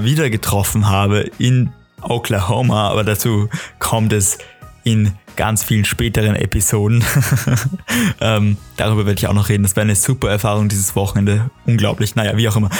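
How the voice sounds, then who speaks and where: A teenage man with an Austrian accent chats casually on a solo podcast, telling stories in an emphatic, rambling, excitable way, with music behind him. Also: on the low side. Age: teens, exchange student. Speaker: podcast host. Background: clearly audible music.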